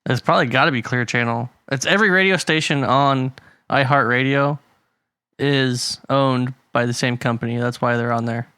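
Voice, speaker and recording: A man co-hosts a relaxed podcast conversation, reading out statistics and chuckling along the way. His voice is bright at a medium pitch, recorded dry and close with no room sound. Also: moderate pace, clear, resonant tone, no room sound. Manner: reads figures aloud, laughs often. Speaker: podcast co-host. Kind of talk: casual chat.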